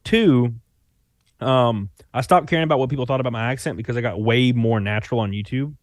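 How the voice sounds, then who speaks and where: A man's voice: mid-range voice, fairly quick, no echo, clean studio sound. A young man with a Southern Alabama accent, talking loosely in a casual chat, full of fillers and restarts. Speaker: YouTuber guest. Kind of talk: casual conversation.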